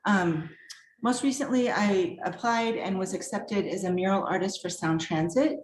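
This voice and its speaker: A woman's voice: mid-range voice, normal speed. Chatty upbeat woman in an echoey room. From former Czechoslovakia. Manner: chatty and upbeat, laughs often. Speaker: guest artist and art professor. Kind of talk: casual self-introduction at a virtual reception.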